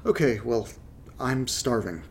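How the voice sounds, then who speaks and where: A man's voice: medium pitch, lively intonation, slow, gravelly, slightly rough, exaggerated voice. An actor playing a confused man recording a casual confessional audio diary, hesitant and trailing off, with a cold. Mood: puzzled, uneasy. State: getting over a cold. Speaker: narrator of a horror audio drama. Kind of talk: casual first-person found-audio monologue.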